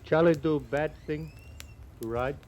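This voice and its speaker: An actor playing a cheerful, guileless Crow scout and horse thief in a 1950s radio western, speaking halting broken English and calling himself by name. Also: male voice, fairly high pitch, a little mellow, natural voice. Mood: matter-of-fact. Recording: old radio recording with background noise.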